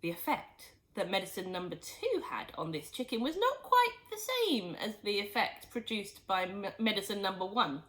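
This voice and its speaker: A woman reading a children's storybook aloud in expressive, scripted narration. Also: mid-range voice, moderate pace, hardly any pauses, rough recording. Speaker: storybook reader.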